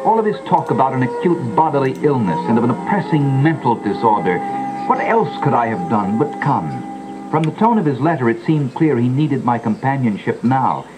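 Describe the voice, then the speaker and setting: An actor playing a gloomy, uneasy gentleman who narrates a gothic audio drama in a brooding, theatrical manner, on an old cassette dub. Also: sing-song, normal speed, lightly acted, poor audio. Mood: anxious musing. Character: gloomy gentleman narrator, uneasy boyhood friend visiting a decaying mansion. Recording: old cassette dub re-recorded through boombox mics. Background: music.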